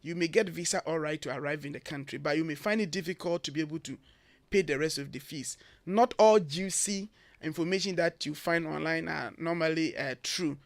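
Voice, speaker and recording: A man's voice: a little high, brisk, gravelly, no room sound. A man from Ghana with a Ghanaian accent, a guest on a morning radio show, giving earnest advice point by point. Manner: earnest, emphatic, lists points. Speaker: guest, education agency head. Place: radio studio.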